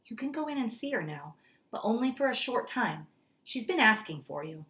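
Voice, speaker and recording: A woman voicing a practical male doctor in a storybook, giving cautioning advice in a firm, measured manner. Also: a little high, sing-song, pushed and bright, voice pushed up. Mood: gentle.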